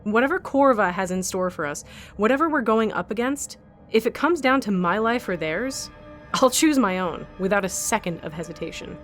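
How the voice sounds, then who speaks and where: A woman's voice: medium pitch, animated, moderate pace, faint music behind, natural voice. Woman playing a hard, bitter arena captive, blunt. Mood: cynical, desperate. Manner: blunt, demanding, sardonic. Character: hard, bitter, self-preserving female arena captive fighter. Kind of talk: audio drama acting.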